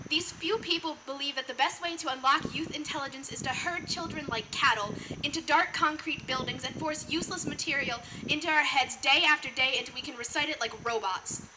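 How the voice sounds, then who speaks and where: A teenage young woman performing slam poetry live with an emphatic, earnest, rhetorical delivery. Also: sits high. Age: teens. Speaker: slam poet. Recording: noticeable background noise, live event.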